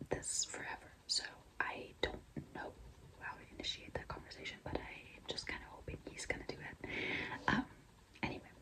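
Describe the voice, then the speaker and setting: A young woman whispering in a breathy, mostly unvoiced voice, chatting confidingly and casually to viewers as a vlogger telling a personal story. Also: a little fast, pushed and bright, low-quality recording. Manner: confiding, conversational, frequent "like" and "you know".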